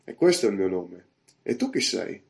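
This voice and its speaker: A man acting a bluff pirate innkeeper, jovial yet domineering, loud, emphatic, gravelly, storybook villain. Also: on the low side, big pitch swings, normal speed. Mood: jovial, hearty. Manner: loud, emphatic, barking orders and questions. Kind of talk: audiobook character voice.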